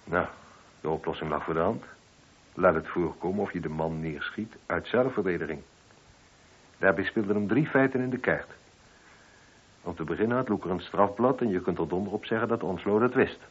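A man's voice: deep voice, fairly quick, long pauses, husky. A man acting a methodical police inspector in a Dutch radio play. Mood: analytical. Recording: dull, muffled old radio recording.

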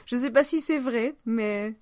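A woman talking casually as a podcast host in relaxed conversation. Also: fairly high pitch, unhurried, no echo, studio quality. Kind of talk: casual chat.

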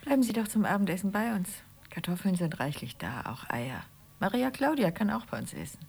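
Dry frustrated wife in a radio play, husky creaky voice, quietly defiant. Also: female voice, mid-range voice, very animated, brisk, exaggerated voice. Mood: hospitable. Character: frustrated, hospitable wife, quietly defiant toward her husband. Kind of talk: German radio play acting.

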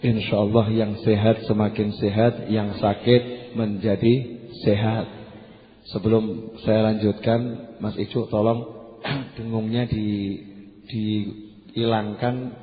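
A slightly hoarse man giving a religious lecture to a congregation, conversational, repeating key phrases and putting questions to his listeners. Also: on the low side, level tone, slow pace, pauses often. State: slightly hoarse after losing voice. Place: large hall at a religious gathering. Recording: muffled PA with long hall reverb.

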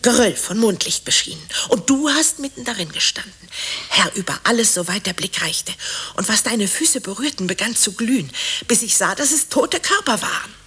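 An actress playing a wounded, reproachful wife in a radio play, bitter and intense, with a husky, breathy voice. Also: female voice, medium pitch, lively intonation, moderate pace, bright, forceful tone, heavily acted. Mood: haunted.

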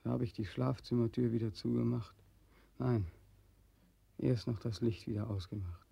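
A man's voice: medium pitch, steady tone, normal speed, vocal fry, warm tone, breathy edge. A man acting a haunted, despairing returned soldier in a 1940s German radio play, brooding and intense, swinging between whispers and outcries. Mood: bitter, numb.

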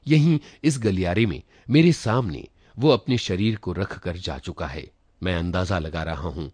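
Guy with a lowish voice reading a short story, reflective and expressive, dry recording. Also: no room sound. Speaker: story reader. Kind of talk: literary short story narration, first person, acting out dialogue.

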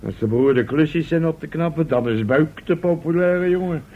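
An actor in an old radio drama playing a cheeky young manservant, colloquial and given to complaining. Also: male voice, on the high side, normal speed, narrow, tinny audio, lightly acted. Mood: grumbling. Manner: colloquial, complaining, clumsily gallant. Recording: thin band-limited old radio recording, faint noise.

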